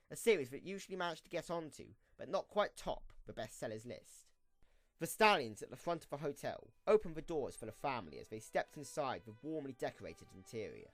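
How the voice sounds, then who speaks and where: A man narrating a scripted story for an amateur radio play, reading in a storybook style. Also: medium pitch.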